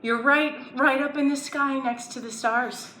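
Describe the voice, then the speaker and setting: A woman's voice: high-pitched, steady tone, measured pace, pushed and bright. A woman presenting a children's educational story time, playfully asking young viewers simple questions as she explains and reads aloud. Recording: roomy echo, background noise.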